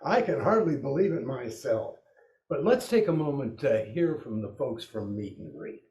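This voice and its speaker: A man's voice: medium pitch, sing-song, moderate pace, warm tone, lightly acted. A man playing a polite, dry-witted announcer in a 1940s-style radio show, recorded at some distance in a roomy space. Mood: smooth.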